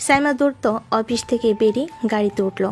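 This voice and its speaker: Fairly high-voiced woman story narrator. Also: fast talker. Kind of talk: scripted dramatic story narration. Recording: music behind the voice.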